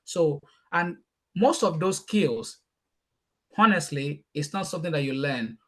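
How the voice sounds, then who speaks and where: A man from Nigeria with a Nigerian accent, whose first language is Yoruba, speaking as a guest on a leadership panel and talking passionately. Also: fairly high pitch. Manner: passionate, fast and emphatic, repeating words when excited. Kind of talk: panel talk answering questions.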